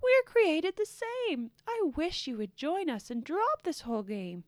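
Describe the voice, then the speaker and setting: A tiny, brave little creature with a kind heart, talking earnestly in a high, bright voice that rises and falls, as a woman reads him in a storybook. The sound is close and dry. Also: big pitch swings, measured pace, dry recording, full character voice. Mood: gentle.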